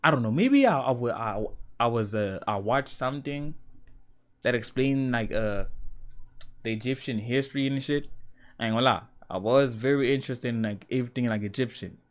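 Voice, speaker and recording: A man's voice: medium pitch, animated, moderate pace. A young man from South Africa with a South African accent, reacting to a video in casual, profane, rambling commentary. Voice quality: slangy, profane, rambling with restarts.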